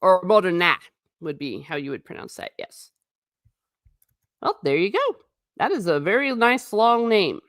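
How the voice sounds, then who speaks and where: A woman's voice: on the low side, sing-song, a little slow, long pauses, a bit bright, dry recording, clean studio sound. A woman hosting a casual livestream, reading out chat comments and answering them in a chatty, wry and tangential way, often restarting her sentences. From United States.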